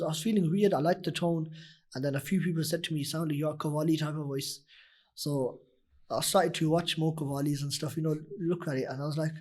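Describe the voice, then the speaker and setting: A young man with a husky, deep voice that still sits a little high for a man. He talks loosely and casually as a guest, circling back with fillers and restarts. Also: darkish tone. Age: young adult. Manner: rambling, many "you know" fillers and restarts. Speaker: nasheed artist, guest. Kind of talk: casual interview talk.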